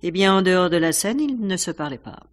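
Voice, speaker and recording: Actress as worldly theatre wife, radio whodunit, slightly low female voice, composed, matter-of-fact, dryly candid. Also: lively intonation, a little fast, lightly acted. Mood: dry, knowing. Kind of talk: French radio mystery drama acting.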